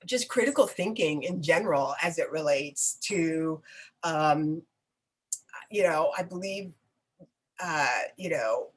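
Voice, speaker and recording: A woman interviewing a guest in a live online conversation, speaking haltingly with many pauses and restarts and warm encouragement, heard over a video call. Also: deep voice, lively intonation, slightly rough. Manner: effusive and encouraging. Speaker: interviewer for a nonprofit network.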